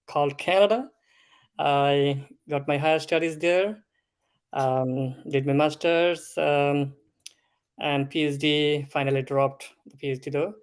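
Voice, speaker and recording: Slow, measured man from Bangladesh. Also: a little high, smooth. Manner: slow, measured, explanatory with filler ums. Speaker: food safety consultant, guest. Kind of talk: interview answers.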